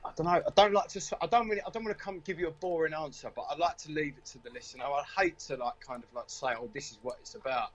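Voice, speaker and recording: A man's voice: on the high side, very fast, hardly any pauses, dull audio. A British man chatting casually as a singer-songwriter in a radio interview, with a hesitant, rambling, informal manner.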